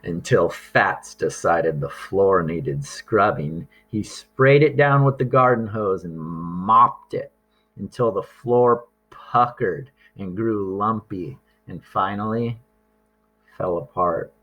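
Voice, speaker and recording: Guy reading a kids book out loud, slow n deliberate, kinda raspy, expressive. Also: deep voice, rough recording. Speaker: storybook reader. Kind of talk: children's read-aloud narration.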